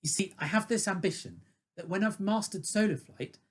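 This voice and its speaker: A woman's voice: mid-range voice, a little fast, breathy edge, lightly acted. A woman with a British accent playing an eager, apologetic, ambitious young man in a comic radio play. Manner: excitable, earnest, apologetic.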